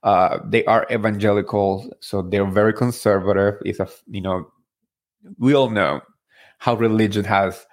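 A man's voice: fairly low pitch, steady tone, moderate pace. A man from Spain with a Spanish accent hosting a podcast, talking through his thoughts in an earnest, heartfelt way with many fillers and restarts. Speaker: podcast host. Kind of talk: casual solo commentary.

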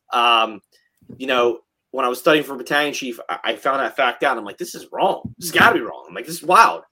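A man in his late thirties talks shop at a middle pitch, blunt and heated, with plenty of swearing. He rants in a loose, casual way, as if chatting with a fellow firefighter. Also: bright, forceful tone. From New Jersey. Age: 30s. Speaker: firefighter podcast host. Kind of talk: casual chat.

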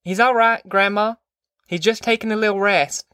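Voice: mid-range voice, fairly even intonation, normal speed, pushed and bright, no room sound, clean studio sound, voice pushed up, lightly acted. A performer voicing a worried but reassuring country mother from a novel, plain and protective in manner.

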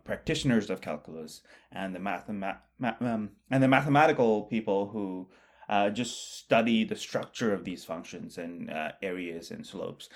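A man from Bangladesh, co-hosting a casual podcast chat about math in a musing way that often trails off quietly. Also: lowish voice. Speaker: podcast co-host, mathematician.

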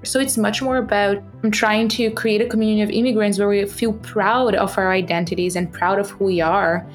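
A woman's voice: medium pitch, fairly even intonation, fairly quick, faint music behind. A young adult woman with a non-native accent, a podcast host, speaking earnestly and passionately about her project, with frequent fillers and restarts. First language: not English.

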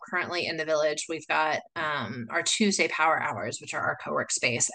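Bright-voiced chatty woman host. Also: low voice. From United States. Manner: chatty, rambling, full of "like" and "you know" fillers. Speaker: podcast host. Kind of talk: casual solo podcast talk.

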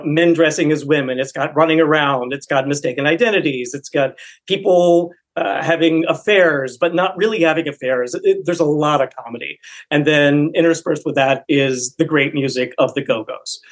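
A man who directs a community musical, talking about the show enthusiastically as an interview guest, with frequent fillers. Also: fairly high pitch. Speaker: theater director.

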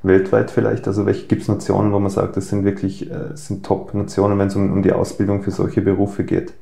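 A man, interviewing a guest in a relaxed, casual way. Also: on the low side, a little fast, creaky. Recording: echoey room.

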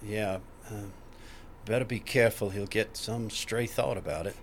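A man's voice: on the low side, moderate pace, lightly acted. Man acting tentative colleague over noisy ambience. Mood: measured. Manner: hesitant, apologetic, careful. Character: polite, curious, tentative male colleague asking careful questions. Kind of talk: scripted fiction podcast acting.

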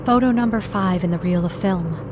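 A woman playing a sober narrator who catalogues photographs in measured, clipped phrases for an audio drama. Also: mid-range voice, noisy background, close to an everyday voice. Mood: somber, measured.

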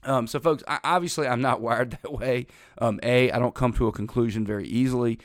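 A man's voice: mid-range voice, steady tone, fairly quick, no echo, clean studio sound. A middle-aged man hosting a casual political talk show, rambling in a folksy way while congested from a lingering cold.

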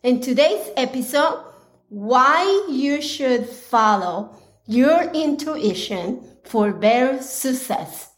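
Woman podcast host, a bit hoarse and raspy, higher voice, unhurried, earnest delivery. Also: lively intonation. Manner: slow, earnest, with pauses and restarts. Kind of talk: casual solo podcast talk.

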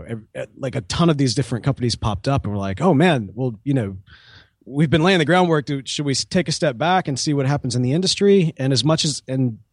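A man's voice: mid-range voice, no echo, studio quality. A man speaking informally as an interview guest, a start-up co-founder in casual conversation who often acts out bits of dialogue. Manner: informal, talks in hypothetical quoted dialogue.

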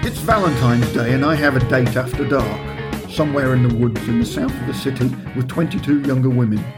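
A man's voice: medium pitch, a little fast, loud music behind the voice. A middle-aged man reading his own book aloud, telling the story in a dry, wry tone with understated humour. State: recovering from a heavy cold. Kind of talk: audiobook narration.

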